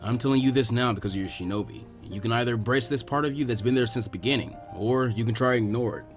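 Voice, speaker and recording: A man voicing a serious, frank older ninja mentor explaining things in measured storybook dialogue. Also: mid-range voice, faint music behind, close to an everyday voice. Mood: serious, cautioning.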